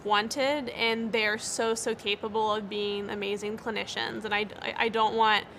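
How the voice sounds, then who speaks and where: A woman's voice: medium pitch, little pitch movement, a little slow, creaky, a bit bright, slight background noise, poor audio. A young American woman who stutters, with repeated words and cut-off sounds, giving earnest, encouraging interview answers. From United States. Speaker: interviewee, speech-language pathologist.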